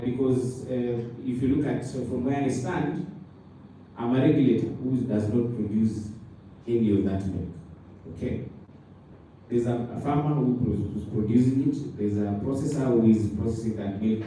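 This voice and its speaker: A Kenyan man speaking on a panel as a dairy regulator, halting and full of fillers and restarts. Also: medium pitch. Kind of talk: panel talk. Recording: roomy hall from a distance, loud noise.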